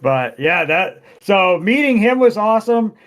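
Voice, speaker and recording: A middle-aged man with a high-pitched voice hosts a loose, casual chat. He rambles through his stories, full of fillers and quick laughs. He swears freely. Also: sing-song, a bit bright. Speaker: podcast host.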